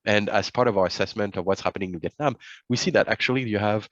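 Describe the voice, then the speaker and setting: A man presenting a report over a webinar, speaking haltingly with many fillers and restarts, on dull video call audio. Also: lowish voice, no room sound.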